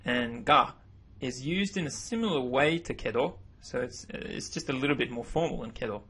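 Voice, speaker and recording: Husky-voiced man teaching a language lesson. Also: mid-range voice, moderate pace. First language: English. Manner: relaxed, self-correcting explanations. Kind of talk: narrated slideshow lesson. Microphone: voice-over.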